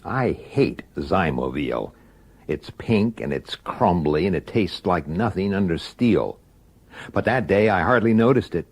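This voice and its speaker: A low, husky, gruff detective. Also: male voice, animated, unhurried, a little mellow, full character voice. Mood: brooding narration. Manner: blunt, colloquial, impatient. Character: gruff, irritable, world-weary male police detective. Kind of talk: old-time radio drama acting and narration.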